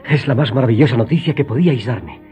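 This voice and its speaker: An actor playing an ardent, courteous young lover in a radio drama. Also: male voice, mid-range voice, animated, quick pace, natural voice. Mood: joyful, grateful. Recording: slightly dull, music behind.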